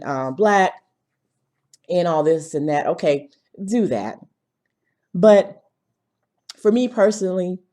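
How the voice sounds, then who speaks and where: Female vlog commentator, lower register, blunt and emphatic, slight cold-related hoarseness, conversational opinion delivery. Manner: blunt, emphatic, punctuating points with tag questions. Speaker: video commentator.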